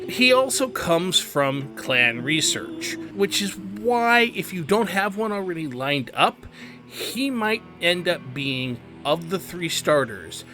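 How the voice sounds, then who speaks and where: A man hosting a video game tutorial in a conversational, explanatory way, stressing key words for emphasis. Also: on the high side. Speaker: game guide host. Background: background music.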